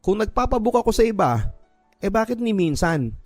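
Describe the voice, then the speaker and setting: A man's voice: sits high, very animated, normal speed, no echo, voice pushed up. A man with a Filipino accent voicing a hurt young single mother in a radio drama, pleading and emotional. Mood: hurt, indignant. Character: wounded young single mother, tearful and defiant. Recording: music bed behind the voice.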